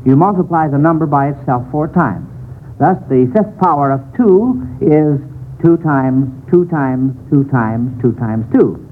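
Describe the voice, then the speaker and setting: High-voiced American quiz host, old radio. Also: male voice. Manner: chuckles often, encouraging to contestants. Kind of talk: 1940s radio quiz hosting. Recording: tinny, faintly noisy old radio broadcast.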